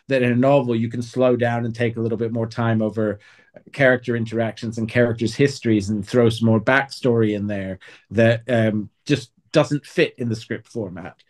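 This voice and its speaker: A man speaking as a writer being interviewed, explaining things in a reflective, conversational way. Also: mid-range voice. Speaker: podcast guest, writer.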